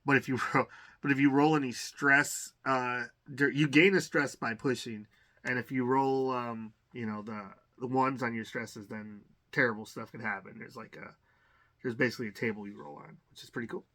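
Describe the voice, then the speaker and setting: A man's voice: mid-range voice, fairly even intonation, pushed and bright. A man hosting a casual unboxing video, thinking aloud with lots of fillers and restarts, enthusiastic about each item he pulls out. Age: adult. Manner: rambling, halting, many fillers and restarts; enthusiastic about what he finds. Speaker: unboxing channel host.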